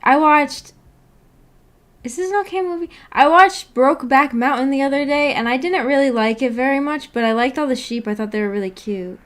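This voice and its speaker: High-voiced woman chatting casually on stream. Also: fairly quick. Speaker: streamer hosting a book club chat. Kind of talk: casual livestream chat, reading and answering comments. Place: indoors at home, upstairs room.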